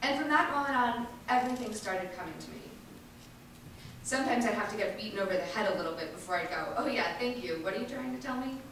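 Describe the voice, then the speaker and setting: A woman giving an earnest, emphatic dramatic reading of a first-person monologue, with deliberate pauses. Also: medium pitch, lively intonation, fairly bright tone. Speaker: reader performing an activist's first-person testimony. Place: large indoor event space, distant microphone. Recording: echoey hall from far off, faint noise.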